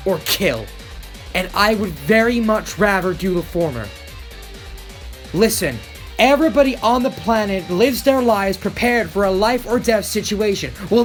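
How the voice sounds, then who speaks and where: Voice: mid-range voice, lightly acted. A person voicing an earnest, protective teenage boy animator in anime game voice lines, speaking emphatically. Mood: earnest, urgent. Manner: emphatic, dramatic delivery.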